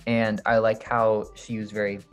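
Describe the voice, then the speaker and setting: A man's voice: on the low side, flat delivery, moderate pace, faint music behind. A man hosting and judging a makeup competition in an upbeat, chatty way. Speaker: judge and host.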